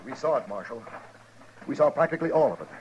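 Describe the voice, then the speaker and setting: An American actor playing a callous, excitable, dismissive man with a husky voice in a radio western. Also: medium pitch, fast, lo-fi sound, noisy background, close to an everyday voice. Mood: gleeful excitement. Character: callous, excitable, dismissive male thrill-seeker in frontier town. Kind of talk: 1950s radio western acting.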